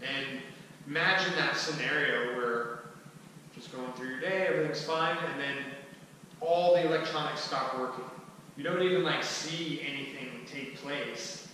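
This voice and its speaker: A man in his thirties giving an informal, conversational explanatory talk to a small group, with somewhat halting delivery. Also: medium pitch, pushed and bright. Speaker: presenter at a skillshare. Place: large indoor room with wooden floor and mirror. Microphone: distant camera microphone. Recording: echoey hall, far from mic, faint noise.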